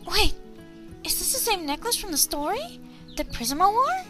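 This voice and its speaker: A woman voicing an eager young female pony princess from a storybook, earnest and excitable, given to exclaiming. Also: very high-pitched, moderate pace, over music, pitched above the performer's own voice. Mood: eager, curious.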